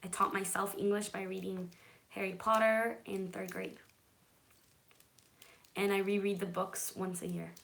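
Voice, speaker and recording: A chatty woman from California, a native Hebrew speaker, hosting a relaxed live stream. She answers viewer comments in a reassuring tone and keeps checking in with her audience. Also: mid-range voice, measured pace, gravelly, pauses often, poor audio. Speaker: livestream host.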